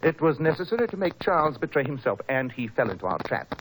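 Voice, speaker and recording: An old, noisy 1940s radio recording of a brisk detective. He talks very fast and a little high, precise and commanding, sure of his own reasoning. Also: sing-song. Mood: confident, explanatory. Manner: precise, authoritative questioning. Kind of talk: 1940s radio mystery acting.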